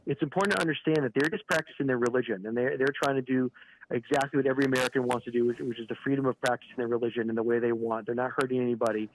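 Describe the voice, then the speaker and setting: A man giving a live news interview by phone, halting and repeating words, often saying "you know". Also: medium pitch, steady tone. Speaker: regional director of an advocacy organisation, interview guest by phone. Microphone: telephone. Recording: phone call with clear background noise.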